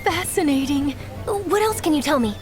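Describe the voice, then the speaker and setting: An upbeat young female reporter, full of questions and enthusiasm. Her voice is very high and lively in its swings. Game music sits behind her. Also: normal speed. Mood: eager. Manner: enthusiastic, inquisitive.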